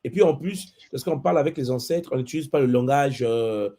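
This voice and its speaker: A man hosting a spiritual talk show, calmly reassuring a caller and calling her maman. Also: medium pitch, fairly quick.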